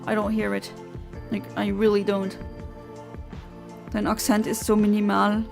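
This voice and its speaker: A woman's voice: mid-range voice, completely flat delivery, unhurried, gravelly, over music. A woman live-streaming a game with a non-native English accent, chatting casually with viewers and often laughing at her own mistakes, with lots of fillers and restarts. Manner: chatty and self-deprecating, many fillers and restarts. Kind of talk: casual live-stream chat while playing and answering chat.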